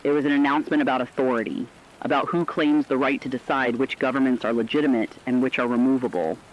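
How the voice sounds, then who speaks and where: A man's voice: mid-range voice, fairly even intonation, fast, highs cut off, a little hiss or hum, close to an everyday voice. A man playing a sober radio correspondent, giving a scripted emergency report on a looming war with serious, emphatic phrasing. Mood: sober, ominous.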